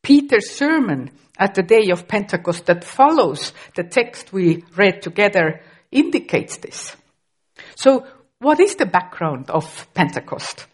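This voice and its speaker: A woman's voice: medium pitch, big pitch swings, measured pace, breathy edge. A middle-aged woman from Estonia, a native Estonian speaker with an Estonian accent, preaching a sermon carefully and with occasional restarts.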